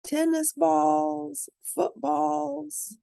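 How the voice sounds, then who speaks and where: A woman's voice: high voice, creaky. A woman reading a children's picture book aloud expressively, as a storyteller for young listeners who points out the pictures. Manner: animated, pauses to point out pictures.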